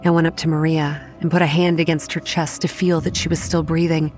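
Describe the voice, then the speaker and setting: A young woman acting as narrator of a horror audio drama, recounting grim childhood memories in a measured, matter-of-fact way. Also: low-pitched, flat delivery, natural voice. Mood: grave, measured. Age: young adult. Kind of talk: scripted first-person horror narration. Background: soft music.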